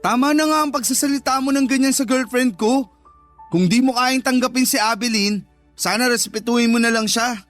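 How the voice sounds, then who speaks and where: An actor playing a brazen, lazy younger brother in a Tagalog radio drama, whiny and quick to talk back. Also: male voice, very high-pitched, a bit bright, faint music behind. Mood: defiant, exasperated.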